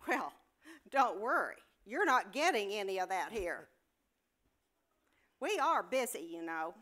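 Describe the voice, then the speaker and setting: A woman's voice: mid-range voice, very animated, unhurried, sharp tone, exaggerated voice. A woman playing a brusque, bossy hospital nurse in an amateur play reading, curt and dismissive as she scolds.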